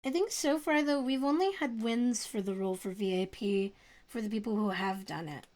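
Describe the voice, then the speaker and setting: A woman's voice: mid-range voice, moderate pace, darkish tone. A young woman streamer chatting casually with her viewers and giggling often. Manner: giggly, chatty, reads chat aloud.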